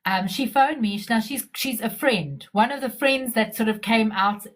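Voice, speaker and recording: A woman, a digital marketer, talking bluntly and openly in a relaxed conversation over a video call. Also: a little high, normal speed. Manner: blunt and outspoken. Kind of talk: casual conversation.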